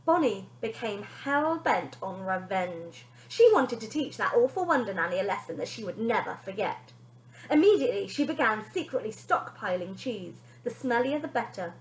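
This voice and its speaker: Animated woman reading a children's story. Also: on the high side, moderate pace. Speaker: teacher. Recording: echoey room.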